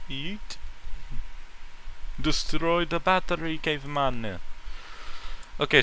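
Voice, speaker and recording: A man's voice: fairly high pitch, bright, forceful tone, clear, resonant tone, poor audio. A man chatting casually as a livestream host, reacting to what he sees and giving blunt opinions with casual swearing. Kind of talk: casual livestream chat, reacting to fan art and comments.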